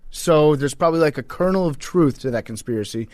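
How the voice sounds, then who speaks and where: A man with a slightly high voice talks sports casually as a podcast co-host. He tells stories in loose, informal, profane language, and the recording is dry and close. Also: expressive, a little fast, darkish tone, no room sound.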